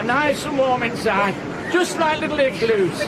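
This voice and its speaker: An actor playing a brisk, practical airline crewman calling out orders in an urgent radio drama. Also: over a noisy crowd. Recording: noisy radio drama with engine rumble.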